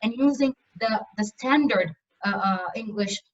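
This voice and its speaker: A woman's voice: fairly high pitch, a little slow. Distant, muffled woman lecturing. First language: Arabic. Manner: hesitant, many fillers and restarts. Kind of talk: university lecture on translation. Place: lecture room.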